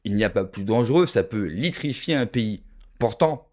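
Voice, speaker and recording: A man's voice: lowish voice, expressive, moderate pace, a little mellow. Emphatic French-born man ranting to viewers. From France. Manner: emphatic, rhetorical, addresses viewers directly. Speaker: political video commentator. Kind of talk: opinion monologue to camera.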